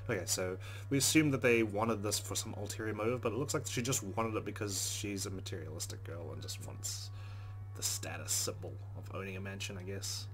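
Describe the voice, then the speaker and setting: A man's voice: on the low side, fairly quick, a bit bright. A man hosting a let's play, reading game narration aloud expressively and adding casual commentary. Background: game music.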